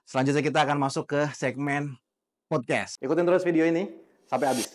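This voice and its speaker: Seorang pria pembawa acara yang mengajak tamunya berkeliling kantor sambil mengobrol santai dan penuh semangat. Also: male voice, a little high, fast, a little hiss or hum. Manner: chatty, eager, frequent fillers. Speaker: host. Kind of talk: casual office tour and chat.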